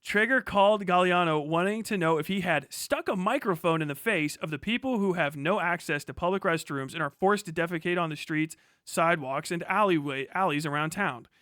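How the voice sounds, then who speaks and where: A man with a fairly high, very bright and forceful voice, recorded dry and close. He talks like a live streamer going over the news, casual and opinionated, with blunt, emphatic delivery and plenty of swearing. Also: a little fast, hardly any pauses, no echo. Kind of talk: casual opinionated livestream commentary, reading news aloud.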